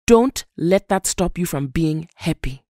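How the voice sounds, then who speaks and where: A woman playing a calm, motherly heroine in an audio drama, soothing and measured, firm but kind. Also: lowish voice, dry recording, studio quality. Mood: firm, reassuring.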